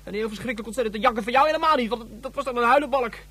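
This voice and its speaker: A performer playing a sulky, blunt young son in a science-fiction radio play, with a husky voice and childish, exaggerated wording. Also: mid-range voice, rapid-fire, a bit bright, natural voice. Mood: spiteful. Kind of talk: Dutch science-fiction radio drama acting.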